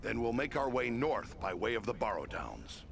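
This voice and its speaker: A man's voice: medium pitch, very animated, moderate pace, creaky, fairly bright tone, a little hiss or hum. A man playing a willing, plain-spoken adventurer in a fantasy video game.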